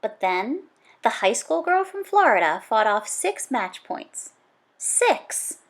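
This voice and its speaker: Animated, higher-pitched woman reading to children. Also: takes it slowly. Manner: emphatic, conversational, stresses repeated words. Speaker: teacher reading a picture book aloud to children. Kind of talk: animated children's read-aloud.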